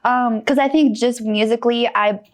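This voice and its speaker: A woman's voice: a little high, fairly even intonation, moderate pace. A young woman from North Carolina, a podcast guest chatting casually, talking bluntly and animatedly, with swearing and lots of "like".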